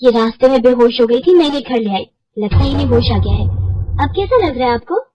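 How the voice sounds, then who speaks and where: A woman's voice: a little high, animated, quick pace, dull audio, a little hiss or hum, natural voice. A woman voicing a kind, caring young village henna artist in a cartoon story, earnest and reassuring. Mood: concerned.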